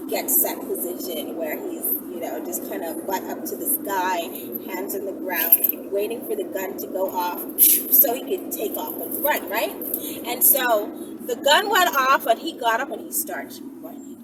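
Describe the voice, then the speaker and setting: A woman talking casually and candidly to viewers as a vlogger, in a rambling, conversational, animated way. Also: sits high. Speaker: vlogger and channel host. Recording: distant mic, heavy vehicle-like background noise.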